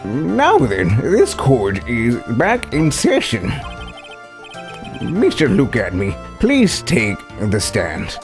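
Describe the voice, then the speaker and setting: A male streamer reading visual novel dialogue aloud in character, halting and rereading lines as he goes. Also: medium pitch, wildly swooping pitch, faint music behind.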